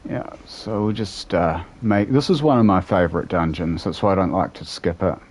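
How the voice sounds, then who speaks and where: A man's voice: low-pitched, normal speed. A young man with a New Zealand accent, chatting casually and rambling as he answers viewers while playing a game from home. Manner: relaxed, rambling, answers chat between play. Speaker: streamer. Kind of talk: casual gameplay chat with live viewers.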